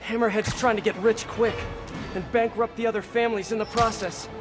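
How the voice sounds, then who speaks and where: A man's voice: high-pitched, steady tone, a little fast, over music, natural voice. An actor playing a caring, wry young male superhero, conversational and earnest, in a video game cutscene.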